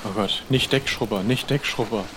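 A man's voice: on the low side, moderate pace, fairly bright tone, slight background noise, natural voice, poor audio. A man playing a put-upon, self-pitying pirate deck scrubber in an improvised audio play. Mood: dismayed. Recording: music behind the voice.

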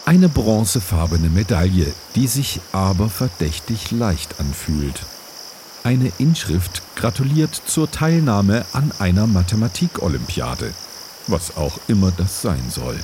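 A man playing a dry, deadpan narrator in an adventure audio play, describing found items. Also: lowish voice, measured pace, a little hiss or hum.

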